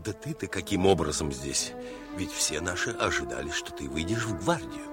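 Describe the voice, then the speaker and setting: An actor playing a seasoned army lieutenant, a fond and sober elder brother, with measured, courteous delivery in radio drama style. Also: male voice, low voice, expressive. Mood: fond, surprised. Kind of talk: theatrical radio drama acting. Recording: radio play with faint music.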